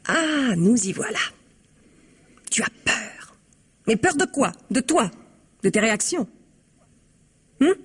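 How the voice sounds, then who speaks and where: An actress playing a scheming, dissatisfied wife in a vintage French radio mystery, with a sarcastic, insistent, reproachful manner. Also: female voice, a little high, big pitch swings, slow, long pauses, husky, exaggerated voice. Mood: scornful. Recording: slightly muffled vintage radio drama recording.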